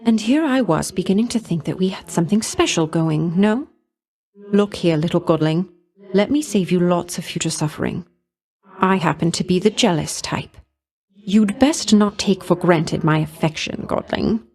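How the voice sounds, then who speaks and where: A woman performs a video game goddess of love with a fairly low female voice. She is flirtatious and silky, talking down to her listener with pet names. Beneath the coquettish delivery sits a vain, jealous temper that can threaten. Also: moderate pace, darkish tone, close to an everyday voice. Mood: jealous, menacing. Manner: coquettish, condescending, silky endearments with veiled threats.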